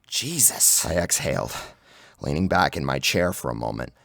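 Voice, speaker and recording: A man's voice: extremely low pitch, animated, normal speed, creaky, noisy background. A man reading a scripted first-person horror story as a narrator, with a dramatic, theatrical delivery. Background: loud music.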